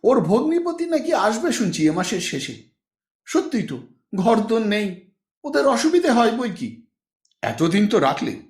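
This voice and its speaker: An audiobook portrait of a worn-down, reproachful middle-aged wife, acted by a man. The voice is high and plaintive, tearful and pleading, with wide swings of pitch in an echoey room. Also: big pitch swings, unhurried, pauses often, a little mellow. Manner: pleading, nagging complaint. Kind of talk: audiobook character acting.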